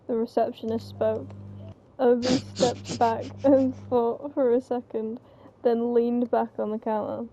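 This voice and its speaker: A woman reads a story aloud in an informal, halting way. Her voice sits fairly high with plenty of creak. The microphone is slightly muffled. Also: level tone, crowd noise. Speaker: reader of the narration. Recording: slightly muffled mic, faint background noise.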